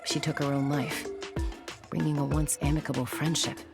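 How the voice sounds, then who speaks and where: A woman voicing a composed, knowledgeable storyteller in a video game, measured and explanatory. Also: deep voice, flat delivery, creaky. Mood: grave. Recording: loud background music.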